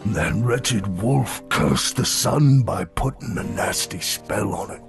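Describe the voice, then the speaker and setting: A man playing a kindly, sage snow creature in a fantasy audio story, with a measured, avuncular manner. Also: lowish voice, lively intonation, gravelly, faint music behind, full character voice. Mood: grave, warning. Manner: measured, avuncular, old-fashioned wording.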